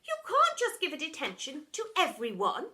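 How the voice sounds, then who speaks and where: A woman's voice: high-pitched, huge pitch swings, normal speed, pushed and bright, voice pushed up, exaggerated voice. A woman voicing a flustered, indignant school secretary who exclaims in protest.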